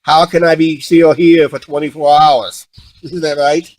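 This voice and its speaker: A man telling stories casually and unscripted, halting and often repeating himself as he restarts sentences. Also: a little high, steady tone, poor audio. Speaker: vlog co-host.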